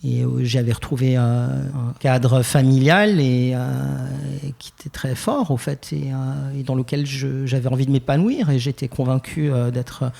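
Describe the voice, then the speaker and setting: A young Swiss French man from Geneva, telling his life story as a podcast guest, thoughtful and hesitant with many pauses and restarts. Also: medium pitch, gravelly, a little mellow. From Geneva, Switzerland. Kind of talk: reflective personal interview.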